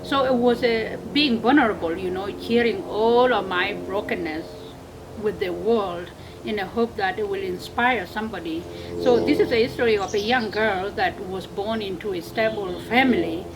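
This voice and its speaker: A woman's voice: medium pitch, moderate pace. A woman in her thirties with a South Sudanese accent, giving an earnest personal account as an interview guest. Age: 30s. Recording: clear background noise.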